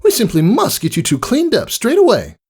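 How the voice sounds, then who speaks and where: Storybook role: ladylike, theatrical unicorn mare male performer, fussy and genteel, high swooping pitch. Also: wildly swooping pitch, fairly quick, a little mellow, slightly rough, exaggerated voice. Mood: shocked, concerned. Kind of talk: character voice in fan fiction reading.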